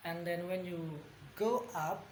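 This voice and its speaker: Slow, halting young Indonesian man. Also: high-pitched, fairly even intonation. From Bandung, West Java, Indonesia. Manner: hesitant, many ums and pauses. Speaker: vlogger. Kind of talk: casual spoken vlog. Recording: echoey room with background noise.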